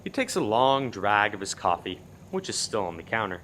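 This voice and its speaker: A man playing the narrator of a farcical radio play, reading scripted stage action in a deadpan way. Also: lowish voice, normal speed, slight background noise. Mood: deadpan narrating.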